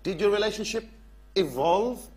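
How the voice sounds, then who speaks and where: Higher-voiced man hosting an interview. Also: expressive, moderate pace, darkish tone. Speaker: interview host. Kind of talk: live on-stage interviewing. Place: theatre stage before an audience.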